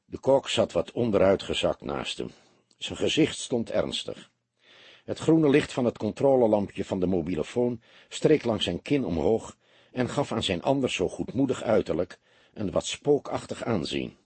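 A man narrating an audiobook in an expressive, scripted storytelling style. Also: lowish voice, moderate pace, slightly rough, dry recording.

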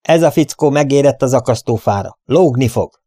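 A man voicing a domineering, angry western bandit boss with a gruff, bullying, deceitful manner. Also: mid-range voice, animated, mellow, no echo, clean studio sound, pitched above the performer's own voice, lightly acted. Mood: blustering.

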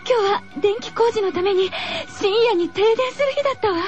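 A woman's voice: very high-pitched, fairly quick, voice pushed up. A woman playing a startled female schoolteacher who tries to stay composed as she addresses her pupil in a horror radio drama. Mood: startled, uneasy. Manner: addresses her pupil in feminine, instructive speech. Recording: dull lo-fi radio recording with music.

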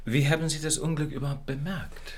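An actor playing a confident, probing gentleman detective with precise, assured delivery in a German radio drama. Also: on the high side, fairly even intonation, brisk, natural voice. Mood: probing, curious.

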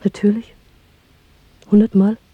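A woman's voice: medium pitch, level tone, unhurried, no echo, muffled recording, lightly acted. An actress playing a defiant, sensual young rebel woman in a German radio drama, intimate and direct, often close to a whisper. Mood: defiant, frank. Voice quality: breathy, hushed, often whispering.

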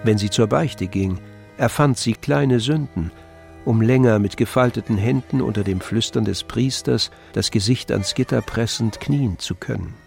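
A man giving measured, articulate literary narration for a radio play. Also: mid-range voice, vocal fry. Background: music.

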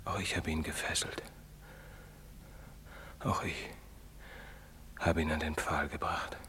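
Earnest preaching priest, long reverb. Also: male voice, a bass voice, fairly even intonation, slow pace, bright, forceful tone, in a whisper, full character voice. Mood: remorseful, subdued. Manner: pleading, moralizing, rhetorical questions. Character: earnest, preaching priest, later old and guilt-ridden. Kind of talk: theatrical stage drama acting. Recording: reverberant theatre stage recording.

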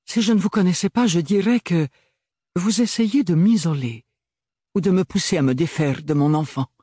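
A man's voice: high-pitched, normal speed, dark tone, husky, no room sound, studio quality, pitched above the performer's own voice. A man voicing a proud, wary noblewoman who speaks in a cool, formal, guarded way.

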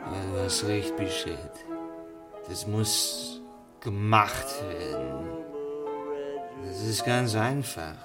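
Low, brooding, jaded underworld man. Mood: solemn, insistent. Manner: halting, brooding, with sudden rushes. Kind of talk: intimate radio play acting. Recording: faint music behind the voice.

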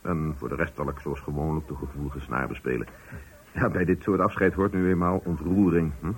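An actor in a radio play playing a calculating, condescending company director, speaking formally with a husky voice. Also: male voice, a bass voice, fairly even intonation, brisk. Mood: brisk, condescending. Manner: formal, smooth-talking, patronising. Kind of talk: Dutch radio play acting.

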